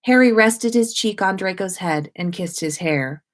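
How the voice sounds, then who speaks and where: A woman reading a story aloud as expressive narration, like a host at story time. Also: medium pitch, a little slow. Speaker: host reading listeners' stories on a livestream.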